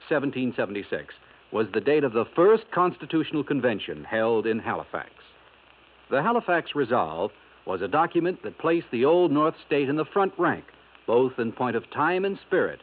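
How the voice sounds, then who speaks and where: Man, measured educational narration, lively pitch, tinny phone-like old radio. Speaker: announcer. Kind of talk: scripted informational radio segment. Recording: tinny 1950s radio broadcast.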